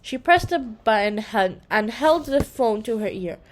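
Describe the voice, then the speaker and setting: Woman, children's storybook reader, high voice, lively intonation, expressive narration. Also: measured pace.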